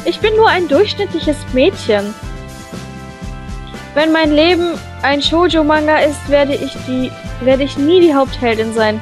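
A woman reading a visual novel aloud in an animated, playful way, breaking off into her own comments. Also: sits high, unhurried, faint music behind. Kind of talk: expressive let's play read-aloud.

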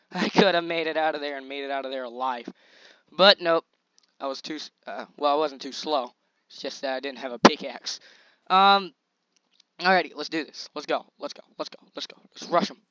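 A young man talks over his gameplay in a loose, slangy way, hyping himself up as the action goes. His voice is a little scratchy and sits slightly high for a man. The recording is dry and a bit dull, like a basic home mic. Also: moderate pace, clear, resonant tone, no room sound. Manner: excitable, chatty, slangy hype while playing. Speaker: gaming channel host. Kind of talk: casual gameplay commentary.

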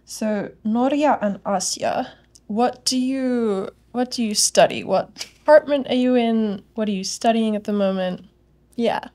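A woman's voice: fairly high pitch, unhurried, dark tone. A young woman from Australia hosting a casual student panel chat, upbeat and chatty, on a lapel mic in a small studio. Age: young adult. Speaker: host, student ambassador. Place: studio with curtains and plants.

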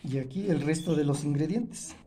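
A man explains home cooking casually, in a halting way with self-corrections. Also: on the high side, lively intonation, dark tone, a little hiss or hum. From Oaxaca, Mexico. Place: indoors at a home kitchen table.

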